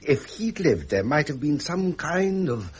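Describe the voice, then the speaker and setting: An actor playing a worldly, frustrated middle-aged royal heir in a 1970s British radio drama, with a husky British voice and formal, dryly ironic phrasing. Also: male voice, fairly high pitch, very animated, unhurried, full character voice. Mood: earnest, frustrated.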